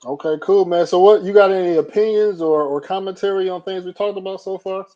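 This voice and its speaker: A man runs a live stream in a loose, casual way, checking callers and bringing them up. His voice is a little higher than average but dark and mellow in tone. The audio is dull and lo-fi. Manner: brisk, relaxed street slang.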